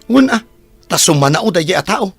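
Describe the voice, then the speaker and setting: Hot-tempered Ilocano scheming driver, swooping pitch. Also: male voice, sing-song, normal speed, creaky, fairly bright tone, soft background music, exaggerated voice. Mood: sullen, aggrieved. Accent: Filipino, Ilocano. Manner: combative, indignant. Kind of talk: radio drama acting.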